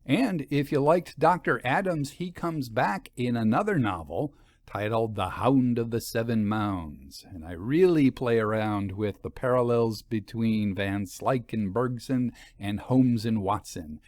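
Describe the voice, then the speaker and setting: A male storyteller addressing listeners in a chatty, scripted style. His medium-pitched voice moves around expressively, and the recording is very dry and free of room sound. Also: lively intonation, measured pace, a little mellow, no echo. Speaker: storyteller, host and author. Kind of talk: scripted narration and conversational outro.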